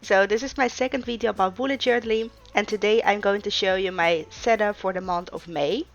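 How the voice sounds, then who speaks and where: A woman's voice: medium pitch, a little fast, muffled recording. A young woman whose first language is Russian, chatting casually through a plan-with-me video, with frequent ums and uhs. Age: young adult. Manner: relaxed, chatty, many filler ums and uhs. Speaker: vlogger.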